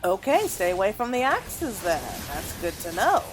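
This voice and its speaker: Female game streamer, mid-pitched, casual and reactive running commentary, music underneath. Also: very animated, moderate pace, rough recording. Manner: thinking aloud, reactive. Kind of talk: casual let's play commentary.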